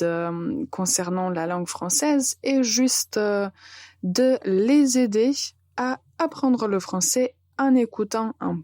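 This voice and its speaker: A woman in her thirties from Serbia with a Serbian accent, hosting a podcast lesson calmly with frequent hesitations. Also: mid-range voice, no room sound, studio quality. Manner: calm, deliberate, with frequent hesitations. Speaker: French teacher and podcast host.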